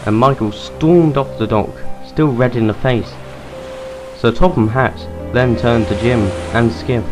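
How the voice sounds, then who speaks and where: A man's voice: mid-range voice, unhurried, music in the background. A man reading scripted story narration as the narrator of an audio drama.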